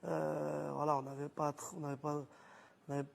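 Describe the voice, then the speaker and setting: A man's voice: on the high side, monotone, normal speed, creaky. A footballer from France answering interview questions earnestly, repeating words as he searches.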